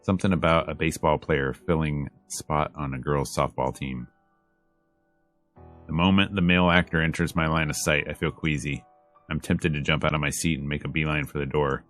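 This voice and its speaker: A man reading a visual novel aloud as a let's player, in measured, dramatic storytelling narration over game music. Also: a bass voice, steady tone, a bit bright.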